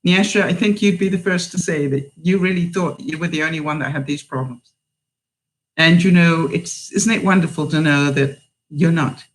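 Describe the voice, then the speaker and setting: Unhurried older woman, mixed British accent. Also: low voice, a little hiss or hum, rough recording. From England. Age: 70s. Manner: unhurried, halting, with many fillers. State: tired. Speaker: livestream host. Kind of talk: live-stream chat with viewers.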